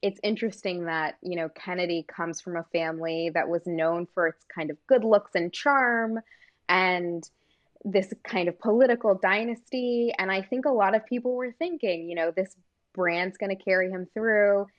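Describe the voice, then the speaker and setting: A woman's voice: mid-range voice, moderate pace, muffled recording. A woman from Maine co-hosting a casual political talk, chatty and full of fillers. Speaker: co-host.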